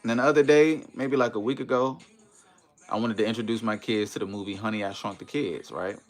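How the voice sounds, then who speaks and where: A deep-voiced American man hosts a casual livestream over music. He talks to his viewers in a slangy, conversational way, dropping in tags like "you know" as he goes. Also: fairly even intonation, fairly quick. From United States, Maryland and Washington DC area. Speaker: livestream host chatting with viewers.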